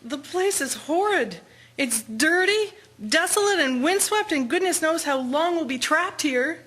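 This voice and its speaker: High, disgusted army nurse. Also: female voice, lively intonation, a little slow, a bit bright. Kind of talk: radio drama acting.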